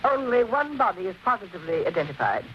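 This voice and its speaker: A woman's voice: medium pitch, sing-song, fast, natural voice. An actress playing a grave, worried middle-aged mother, speaking hesitantly in 1940s radio style. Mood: grave, troubled. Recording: dull, faintly noisy 1940s radio recording.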